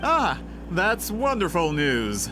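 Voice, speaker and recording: A man voicing a formal, determined gentleman scholar in a video game, with courtly and precise phrasing. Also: high voice, wildly swooping pitch, slow pace, full character voice. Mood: courteous. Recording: game music under the voice.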